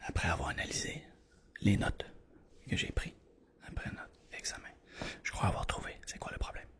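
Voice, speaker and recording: A man doing a hushed ASMR doctor roleplay, guiding the listener patiently and reassuringly. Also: normal speed. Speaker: ASMR creator playing a doctor. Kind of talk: whispered ASMR medical roleplay.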